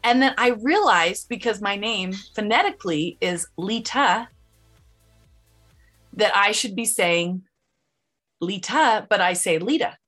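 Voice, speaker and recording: Bright-voiced bubbly woman host with Utah accent. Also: mid-range voice, animated, slow pace, long pauses, soft background music. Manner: bubbly, laughs often, chatty. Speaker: podcast host. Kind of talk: casual interview chat.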